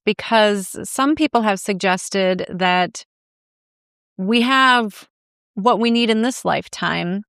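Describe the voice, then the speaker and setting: Measured woman interviewer with a smooth voice. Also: medium pitch, fairly bright tone, no room sound, clean studio sound. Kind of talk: interview questions.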